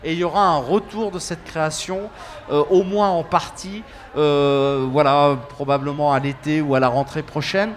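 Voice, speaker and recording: A man in his fifties from France talks on a web TV panel as an association organizer. He speaks in a high, lively voice and keeps starting over between fillers. His talk is casual and animated. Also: moderate pace. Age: 50s. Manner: animated, hesitant with many fillers.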